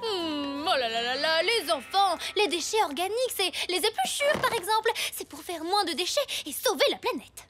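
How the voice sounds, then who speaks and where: A woman playing an earnest, eccentric aunt in a French animated comedy, preachy and fussy. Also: very high-pitched, normal speed, few pauses, over music. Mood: earnest, lecturing.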